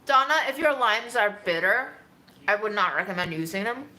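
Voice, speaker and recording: Woman vlogger doing a casual q&a, musing, crisp bright voice, medium pitch. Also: animated, moderate pace, rough recording. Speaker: vlogger answering viewer questions. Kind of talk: casual Q&A chat.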